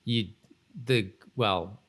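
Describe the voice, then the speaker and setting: Low-voiced man, rambling podcast chat. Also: a bit bright. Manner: rambling, halting, repeats himself. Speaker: podcast co-host. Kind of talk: casual chat recapping a TV episode.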